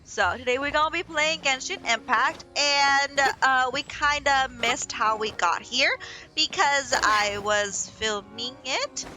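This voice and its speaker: A young woman casually commenting as she plays a video game, self-deprecating and rambling, yelling at the screen at times. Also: high voice, slow. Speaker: gaming channel host. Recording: game music underneath.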